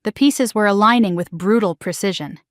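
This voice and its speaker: A woman narrates a scripted first-person story in a calm, even, measured way. Her voice sits at an ordinary pitch for a woman, and the recording is close and dry, with no room around it. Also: no echo.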